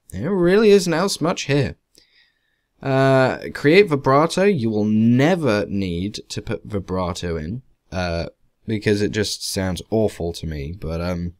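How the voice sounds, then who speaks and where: A man narrating a software tutorial casually, in a rambling, hesitant, self-deprecating way. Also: on the low side. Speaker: tutorial presenter. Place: indoors, screen-recording voice-over.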